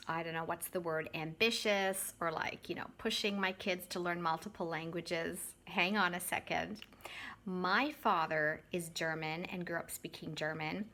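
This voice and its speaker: A woman's voice: on the low side, fairly bright tone. A woman from Canada in her thirties, talking casually to her channel's viewers and correcting her own word choices as she goes. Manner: chatty, self-correcting, friendly direct address. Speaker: YouTube vlogger and English teacher. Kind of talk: casual talk to camera.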